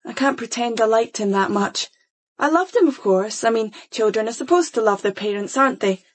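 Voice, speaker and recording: A woman's voice: on the high side, a little fast, close to an everyday voice. A woman playing a troubled, flippant, evasive fourteen-year-old girl in a radio crime drama. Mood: brittle.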